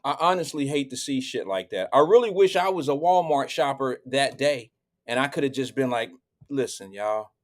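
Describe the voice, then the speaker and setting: A man commenting calmly, appealing to people to set an example. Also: medium pitch, brisk. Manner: calm, appealing, moralizing. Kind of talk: reflective commentary.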